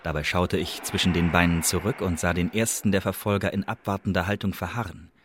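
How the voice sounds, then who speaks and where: A wary secret agent confides his story in a radio play. The voice sits low and comes out husky and breathy. He phrases deliberately and dramatically, brooding as he tells it. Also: fairly even intonation, a bit bright. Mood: watchful, tense. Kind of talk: German radio drama monologue, intimate storytelling.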